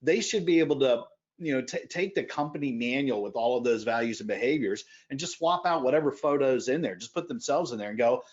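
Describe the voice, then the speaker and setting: A man talks as a podcast guest in an energetic, emphatic way. He pushes some phrases out loudly and drops others into quieter asides. The audio sounds a little dull and lo-fi, and his voice sits at a middle pitch. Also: brisk. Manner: energetic, emphatic, swings between loud bursts and quiet asides. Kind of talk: animated conversational interview.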